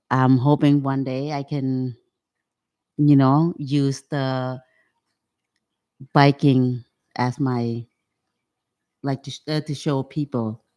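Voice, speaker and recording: A woman's voice: a bass voice, mellow. A woman in her fifties from Cambodia, speaking English as an in a casual interview, often restarting her sentences. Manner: halting, restarts sentences, second-language English. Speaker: guest.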